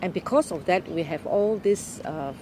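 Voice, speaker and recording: An older woman from Malaysia telling her life story in an unhurried, explaining way during an interview. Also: fairly low pitch, noisy background. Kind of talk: oral history interview.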